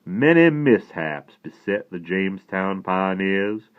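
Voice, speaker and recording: A man voicing a learned, courtly rabbi with a Southern accent, speaking deliberately and formally in a storybook reading. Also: low-pitched, animated. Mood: learned, instructive. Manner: deliberate, soft-spoken, grandly formal. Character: courtly, learned, severe-looking but warm Southern rabbi. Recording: thin, phone-like narrow band.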